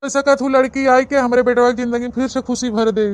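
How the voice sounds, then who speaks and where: A woman voicing a gruff but soft-hearted old village farmer and husband with an Awadhi accent, in a cartoon comedy. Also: fairly high pitch, steady tone, a little fast, natural voice. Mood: reassuring.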